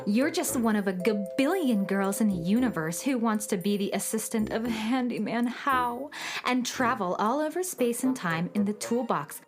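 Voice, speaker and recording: A woman's voice: fairly high pitch, animated, normal speed, music in the background, natural voice. A woman playing a jealous, haughty young sidekick in a children's radio play, snooty and sarcastic. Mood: suspicious, sarcastic. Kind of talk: children's radio theater acting.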